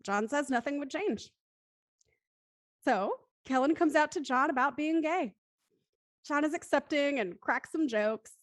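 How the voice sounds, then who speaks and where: A woman's voice: high voice, no echo, clean studio sound. A woman hosting a live streamed play reading, speaking in articulate, polite and rather formal phrases. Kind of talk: scripted recap and live show hosting.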